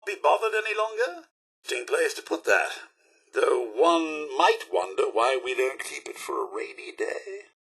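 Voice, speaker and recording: An actor playing a fussy, chipper robot butler in a video game, with a British accent and a polite, pompous, theatrical manner. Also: male voice, a little high, sing-song, moderate pace, creaky, a bit bright, husky, heavily acted. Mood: fussy, concerned. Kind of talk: video game character voice lines.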